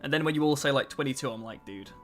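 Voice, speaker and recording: A man's voice: mid-range voice, quick pace, a bit bright, faint music behind. A man in his twenties chatting casually on a livestream, answering chat and joking at his own expense. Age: 20s. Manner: chatty, self-mocking, answers chat between tasks. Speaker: streamer.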